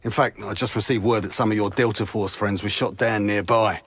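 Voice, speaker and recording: An actor with a British accent playing a gruff, sardonic SAS commander in a war video game. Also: male voice, on the low side, fairly even intonation, a little fast, a bit bright. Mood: brusque, dry. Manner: brusque, sardonic, clipped orders. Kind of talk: video game cutscene acting.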